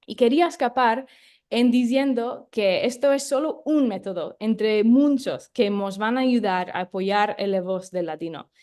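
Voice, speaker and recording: A woman's voice: on the high side, a little slow, darkish tone. A woman leading a project, explaining it in an online talk with frequent hesitation fillers. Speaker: project leader and co-presenter.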